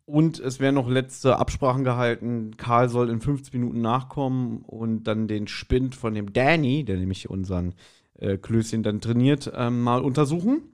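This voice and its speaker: A man's voice: medium pitch, moderate pace, darkish tone. A man chatting casually as a podcast co-host, recapping a story and often breaking into amused asides. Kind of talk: casual chat recapping and reviewing an audio drama.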